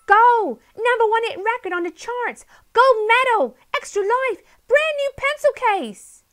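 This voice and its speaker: Storybook girl: brave, excitable, squeaky and loud. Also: moderate pace. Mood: ecstatic.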